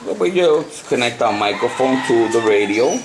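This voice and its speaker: A man giving an unscripted hands-on tutorial, talking haltingly and repeating himself as he works through the steps. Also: medium pitch, lively intonation. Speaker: hobbyist presenter of a CB radio tutorial. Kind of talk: unscripted hands-on how-to demonstration. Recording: noisy amateur home video.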